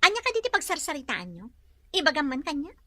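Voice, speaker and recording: Sharp, high-voiced jealous madam. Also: female voice, wildly swooping pitch, quick pace, heavily acted. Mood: indignant. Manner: sharp, interrogating. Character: jealous, demanding lady of the house. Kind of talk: radio drama acting.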